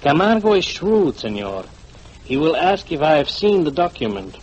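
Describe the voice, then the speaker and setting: An actor playing a greedy, scheming go-between with a formal, ingratiating manner, in a 1940s radio drama. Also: male voice, a little high, big pitch swings, normal speed, lo-fi sound. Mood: oily, pleased. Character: oily, scheming male go-between out for money. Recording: noisy old 1940s radio recording.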